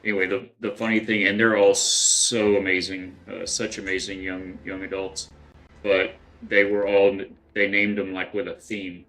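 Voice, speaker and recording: A man's voice: sits low, flat delivery, normal speed, fairly bright tone, low-quality recording. A middle-aged man from West Texas chatting casually while he plays a game, rambling and thinking aloud with lots of fillers and restarts. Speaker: game streamer. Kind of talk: casual gameplay chat with chat readers.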